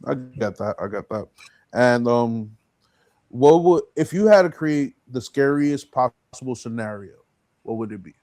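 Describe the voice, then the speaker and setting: A man's voice: mid-range voice, lively intonation, measured pace, a little mellow. A man hosting a podcast in an informal, chatty way, agreeing briefly and putting questions to his guest. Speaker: podcast host. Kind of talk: casual chat, interviewing.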